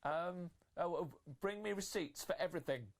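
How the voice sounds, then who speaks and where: A mean, selfish young stepsister from a fairytale puppet show, played by a woman storyteller. She is imperious and demanding, with her voice pushed up high as she orders people about. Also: animated, normal speed.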